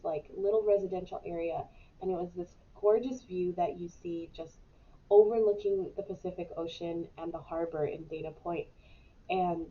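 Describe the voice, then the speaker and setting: A woman's voice: fairly low pitch, highs cut off, a little hiss or hum. A woman in her thirties with a stuffy cold, chatting casually as host of a weekly live show, rambling with many fillers. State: congested with a cold. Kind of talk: casual live-stream chat.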